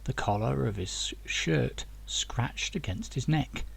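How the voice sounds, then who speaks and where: A man reads a story aloud in an audiobook style. His voice is husky and gravelly, and his pitch moves around in a lively way as he narrates. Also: takes it slowly, whispered. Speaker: author reading own novel.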